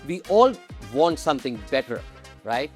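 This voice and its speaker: A man's voice: on the high side, very animated, moderate pace, faint music behind. A man moderating a conference panel, welcoming the audience and introducing the guests. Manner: friendly, welcoming. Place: conference stage.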